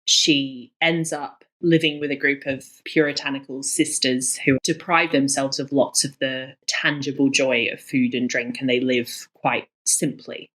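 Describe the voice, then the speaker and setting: A woman's voice: a bass voice, steady tone, measured pace, hardly any pauses, a bit bright, low-quality recording. A woman in her thirties speaking as an interview guest, enthusiastic and emphatic, often repeating words for emphasis. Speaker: guest, cookbook author.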